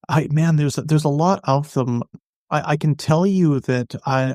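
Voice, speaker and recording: A man's voice: medium pitch, a little mellow, no room sound. Articulate male industry analyst, dry sound. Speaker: podcast guest, industry analyst. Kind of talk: explanatory interview answers.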